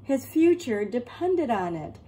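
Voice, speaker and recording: A woman reading a picture book aloud as an expressive storyteller, acting out the tale and explaining as she goes. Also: a little high. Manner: acts out characters and pauses to explain words. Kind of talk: expressive children's read-aloud.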